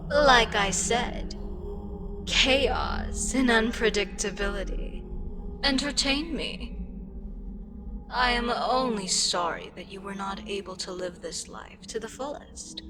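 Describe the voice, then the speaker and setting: Slow, hushed eldritch goddess, acted by a woman. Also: on the high side. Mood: gently regretful. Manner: slow, deliberate, pausing, drifting into breathy whispers. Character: ancient eldritch deity, female, weary, frustrated, wistfully amused by mortals. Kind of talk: ASMR audio roleplay, intimate scripted monologue.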